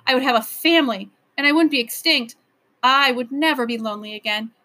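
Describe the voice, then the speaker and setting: A woman acts out a male royal creature from a children's storybook, bright and high-pitched. He is grand, excitable and imperious, with a lonely, insistent streak. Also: brisk. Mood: wistful, hopeful. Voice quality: lisping.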